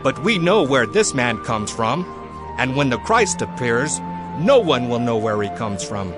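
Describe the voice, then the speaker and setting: A doubting, argumentative townsman in a Bible drama. His mid-pitched voice is animated, with music clearly underneath. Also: unhurried. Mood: dismissive. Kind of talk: dramatized audio Bible acting.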